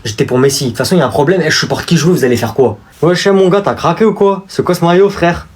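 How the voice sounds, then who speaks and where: A brash, cocky young guy played by a man in a comedy sketch, with a French accent and a slightly high voice. He talks in street slang and challenges people with insistent questions. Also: quick pace, lightly acted. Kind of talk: acted social-media comedy sketch.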